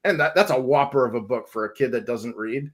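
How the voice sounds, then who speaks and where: A man's voice: medium pitch, brisk. A man from New England telling rambling stories in a casual panel chat, laughing often as a comic creator guest.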